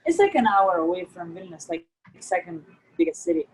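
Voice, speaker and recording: A woman in her thirties from Lithuania, chatting casually on a call from an office, animated and full of "like". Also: fairly low pitch, brisk, husky, poor audio. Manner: animated, laughing often, lots of "like". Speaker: artist in a collaboration. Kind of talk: casual video-call chat. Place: office in Manhattan.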